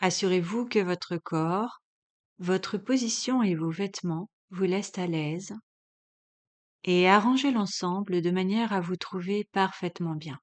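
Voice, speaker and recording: A woman leading a guided yoga relaxation as an instructor, speaking calmly and in measured instructions with long pauses. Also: fairly low pitch.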